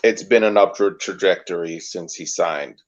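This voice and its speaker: A man with a low voice co-hosts a sports chat in a measured way, building his points one step at a time. His audio sounds dull and lo-fi. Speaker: podcast co-host.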